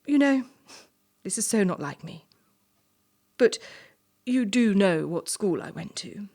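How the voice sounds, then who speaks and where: A woman playing a ruthless, entitled young assessor in a stage play, brisk, condescending and coolly domineering under a veneer of charm. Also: medium pitch, lively intonation, darkish tone. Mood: cold menace.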